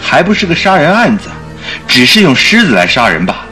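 Genial detective's companion, bright voice, swinging intonation, good-humoured, radio drama. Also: male voice, on the high side, huge pitch swings, brisk, soft background music, full character voice. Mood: reassuring. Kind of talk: Mandarin radio drama acting.

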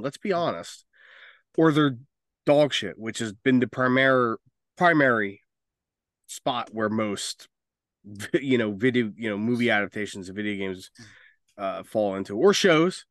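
A man's voice: mid-range voice, dry recording, clean studio sound. A man co-hosting a podcast in casual, opinionated discussion, speaking emphatically and swearing freely. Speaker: podcast co-host.

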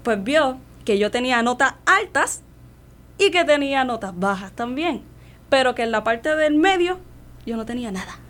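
A young Puerto Rican woman with a higher voice, the music student being interviewed on a local program, recounting things with animated emphasis. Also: moderate pace. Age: young adult. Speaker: guest, classical soprano and voice student. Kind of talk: casual interview chat.

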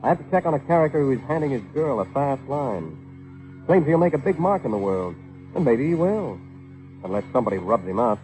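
An actor playing a suave, flirtatious detective with a smooth wisecracking manner, in 1940s radio crime drama style. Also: male voice, medium pitch, very animated, brisk, warm tone, soft background music, close to an everyday voice, rough recording. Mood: breezy.

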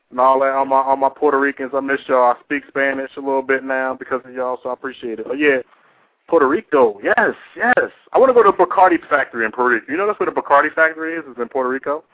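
A man's voice: medium pitch, no echo. A man hosting a casual call-in talk show, speaking in loose slang and repeating himself for emphasis. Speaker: talk radio host. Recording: narrow, tinny phone-line radio sound.

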